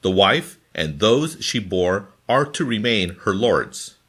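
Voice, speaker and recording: Deep-ish guy reading the bible phrase by phrase, super expressive pitch, forceful delivery. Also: slow pace. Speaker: scripture reader. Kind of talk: scripted Bible reading in formal translation.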